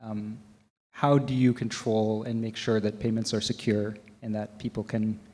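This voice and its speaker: Canadian man with a mellow voice. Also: lowish voice, normal speed. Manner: relaxed, many ums and restarts. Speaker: panel moderator. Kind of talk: conference panel talk. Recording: long hall reverb.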